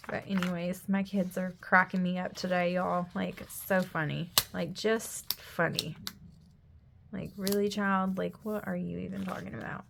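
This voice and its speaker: A woman's voice: fairly low pitch, little pitch movement, a little slow, vocal fry. A woman, chatting casually at home while she decorates a planner; she is sniffly, her throat is scratchy, and she rambles with lots of "like" and often drops to a whisper. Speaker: planner vlogger, mother. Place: home room.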